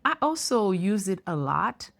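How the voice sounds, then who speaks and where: A woman's voice: mid-range voice, very animated, a little slow, vocal fry, a bit bright. A woman in her fifties, for whom English is not a first language, telling a personal story to camera in an earnest, reflective way with light laughs. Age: 50s. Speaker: YouTuber.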